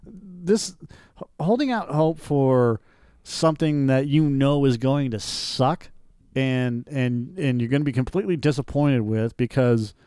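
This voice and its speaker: A man's voice: medium pitch, warm tone, smooth, no echo, clean studio sound. A man ranting as co-host of a casual movie review show, blunt, scornful, profane and emphatic. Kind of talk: casual chat, opinionated ranting.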